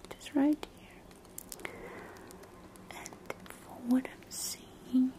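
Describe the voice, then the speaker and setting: A woman whispers right at the binaural mic as a calm doctor in an ASMR roleplay. Her voice sits high and mellow, with little rise and fall. She talks slowly and reassures, like gentle bedside talk. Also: low-quality recording. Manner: soft close whispering. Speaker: ASMR creator role-playing a doctor.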